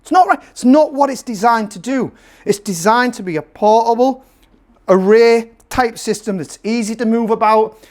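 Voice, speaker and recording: Emphatic man reviewing gear. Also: high voice. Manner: emphatic, repeats phrases for effect, salesman-like. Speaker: presenter and seller of PA gear. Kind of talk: casual product review to camera.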